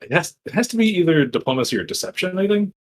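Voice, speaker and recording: A man's voice: sits high, big pitch swings, rapid-fire. A man talking casually as a player in a tabletop roleplaying game.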